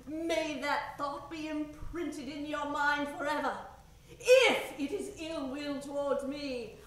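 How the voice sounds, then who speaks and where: A haunting, defiant condemned murderess, acted by a woman in a radio horror play. Her high, piercing voice is slow and commanding. It echoes heavily, far from the mic. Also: sharp tone, full character voice. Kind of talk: old time radio horror acting. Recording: very echoey stage, distant mic.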